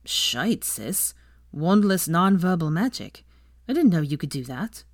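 A woman narrator acts out a protective teenage brother from a storybook. He is blunt and a bit muttering, in a lowish voice with a dry sound. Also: big pitch swings, unhurried, no room sound, heavily acted. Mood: awed. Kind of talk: audiobook character voice.

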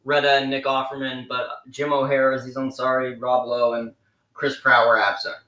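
A man's voice: medium pitch, flat delivery, normal speed, sharp tone. A man hosting a podcast interview, asking questions hesitantly with fillers. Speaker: podcast host. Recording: echoey room.

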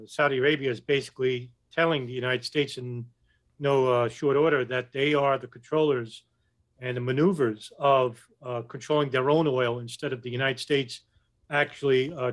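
A man answers as a guest on a podcast, in a voice of ordinary middle pitch. He speaks in a measured, hesitant way, stopping often on "uh" between points. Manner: measured and hesitant, with frequent "uh" pauses. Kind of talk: casual podcast interview.

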